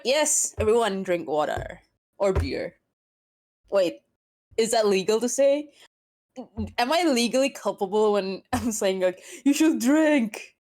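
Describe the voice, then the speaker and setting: A woman's voice: on the high side, a little mellow. A woman streaming casually, teasing her guest and chatting with her viewers in a laid-back way.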